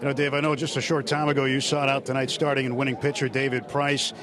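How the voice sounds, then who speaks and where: A man, a radio baseball broadcaster, asking post-game interview questions in a smooth, practiced way, reeling off stats, in a hallway outside a clubhouse. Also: medium pitch, level tone, fast talker. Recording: noisy celebration crowd behind.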